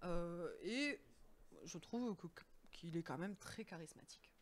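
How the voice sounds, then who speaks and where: A young woman co-hosting a live-stream music quiz, playful and teasing, often pausing on fillers as she gives clues. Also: lowish voice, animated. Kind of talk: casual live-stream chat.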